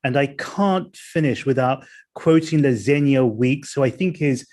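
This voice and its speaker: A man moderating a panel discussion, speaking in a measured way and reading out audience questions. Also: medium pitch, a little mellow.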